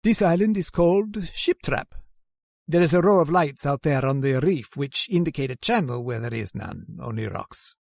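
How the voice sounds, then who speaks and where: A man's voice: fairly high pitch, animated, normal speed, creaky, a little mellow. A man acting the part of a genteel, sinister aristocratic general in a radio horror drama, courteous and suave with theatrical menace. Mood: coolly contemptuous.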